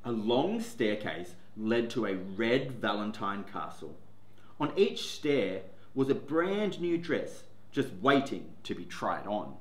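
A man in his forties, a teacher, reading a picture book aloud to children, seated in a classroom. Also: fairly low pitch. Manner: slow, expressive storytelling. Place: classroom, indoors. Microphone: camera in front, seated. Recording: echoey classroom.

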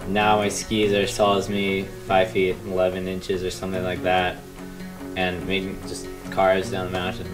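A man's voice: low-pitched, completely flat delivery, normal speed, low-quality recording. A young American man reflecting casually in an interview. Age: teens to young adult. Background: guitar music.

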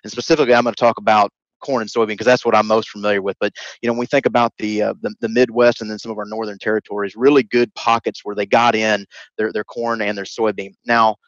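A man's voice: lowish voice, level tone, quick pace, dry recording. A man in his thirties answering interview questions over a headset video call, hesitant with many restarts. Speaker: guest expert. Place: home room, indoors. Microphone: headset mic, video call. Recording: dry, slightly dull video-call audio.

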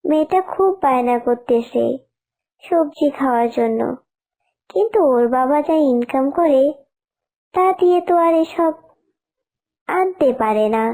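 A woman's voice: high voice, lively intonation, a little slow, long pauses, warm tone. A woman voicing a needy, meek fox wife and mother in a cartoon, humble and coaxing. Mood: meek, asking. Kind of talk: cartoon dialogue.

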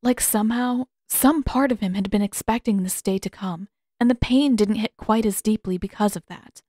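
A woman's voice: mid-range voice, moderate pace, warm tone, no echo. A woman reading scripted fiction as an audiobook narrator, close to the microphone.

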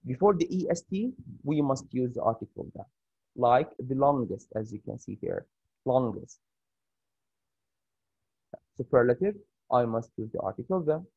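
A man with an Arabic accent teaching an English grammar lesson over a shared screen, pausing often with fillers and restarts. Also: mid-range voice, animated, a little mellow, husky, no room sound, muffled recording. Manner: halting, many fillers and restarts.

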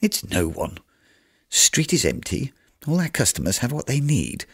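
A man voicing the coal merchant's calm, sharp-tongued wife in a storybook reading. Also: mid-range voice, measured pace, husky, voice pushed up, full character voice. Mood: dismissive. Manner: calm, scolding.

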